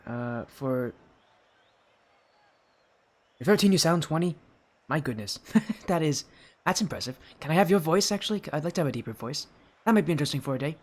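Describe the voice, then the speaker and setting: A teenage boy with a high-pitched, youthful voice, chatting with his live stream audience and casting a video game match, loud and excited on goals. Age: teens. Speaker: esports caster. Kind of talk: live stream chat and play-by-play commentary.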